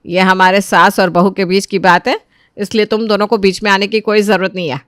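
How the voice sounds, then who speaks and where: A woman's voice: medium pitch, flat delivery, brisk, clear, resonant tone, dry recording, studio quality, natural voice. A woman acting an angry, domineering older mother-in-law in a family audio drama, scolding and exasperated. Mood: stern, dismissive.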